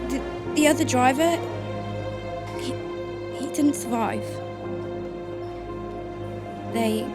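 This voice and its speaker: A woman playing a grieving mother searching for her missing husband in a radio drama, with a halting, emotional delivery. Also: high-pitched. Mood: tearful, distraught. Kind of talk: radio drama acting and first-person narration. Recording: music under the voice.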